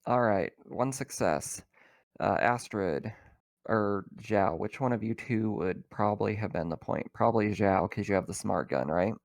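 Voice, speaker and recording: A man's voice: on the low side, measured pace, creaky, no echo. A man acting as game master in a casual tabletop roleplaying session, explaining things informally.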